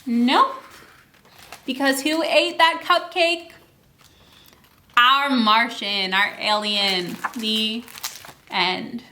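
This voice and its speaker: Female children's story time host: bright, unhurried, animated, engaging young listeners with questions. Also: fairly high pitch, slight background noise. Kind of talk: picture-book read-aloud for young children.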